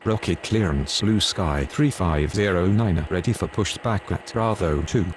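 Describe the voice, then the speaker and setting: A man's voice: low-pitched, unhurried. A man delivering scripted air traffic radio calls in standard pilot and controller phraseology.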